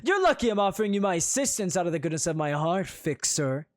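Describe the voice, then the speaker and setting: A man's voice: sits high, very animated, quick pace, voice pushed up. A storyteller voicing a haughty, spoiled young male prince who huffs and sneers. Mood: smug. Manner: huffy, sneering and indignant.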